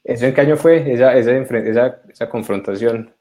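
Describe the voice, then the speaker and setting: A man interviewing guests, asking brief, even questions. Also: mid-range voice, quick pace.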